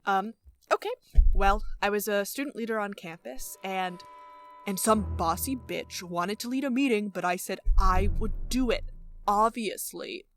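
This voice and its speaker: An actress playing a young college senior at a job interview in a radio play, emphatic and colloquial. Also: female voice, medium pitch, measured pace, a bit bright, close to an everyday voice. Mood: nervous, flustered. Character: female college senior job applicant, turning brash bro.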